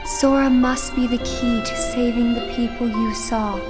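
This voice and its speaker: A woman voicing a gentle, wistful teenage girl in a video game cutscene, speaking softly and earnestly. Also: fairly high pitch, steady tone, a little slow, natural voice. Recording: game audio over loud music. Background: music.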